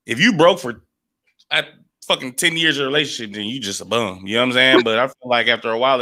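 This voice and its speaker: A man in his late twenties talks in loose, blunt, profane sentences. His voice has a bright, forward edge. He sounds laid-back as he reasons things out on a podcast. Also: medium pitch. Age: 20s. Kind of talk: casual chat.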